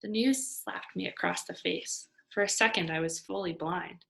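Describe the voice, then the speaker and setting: A woman narrates a children's chapter book expressively. Her voice sits a little low for a woman. It has a noticeable creak as she reads. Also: moderate pace, sharp tone, rough recording. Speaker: storybook reader. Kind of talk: expressive children's novel read-aloud.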